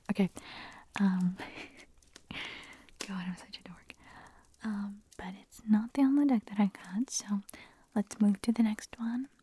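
A woman whispering in an ASMR audio, her voice hoarse and raspy as she nearly loses it, giggling and making chatty, self-deprecating asides. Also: mid-range voice, steady tone, slow, pauses often, warm tone, a little hiss or hum. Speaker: ASMR creator.

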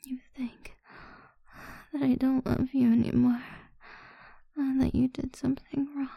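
A woman's voice: high-pitched, level tone, warm tone, no room sound, heavily acted. A woman whispering as a cruel, possessive mistress. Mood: cold. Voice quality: breathy whisper. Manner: slow, taunting and degrading. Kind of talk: whispered audio roleplay.

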